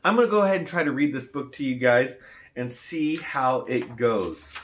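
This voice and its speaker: Bright-voiced man chatting between story pages. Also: medium pitch, lively intonation, normal speed. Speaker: storybook reader. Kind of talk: casual introduction and asides for a read-aloud.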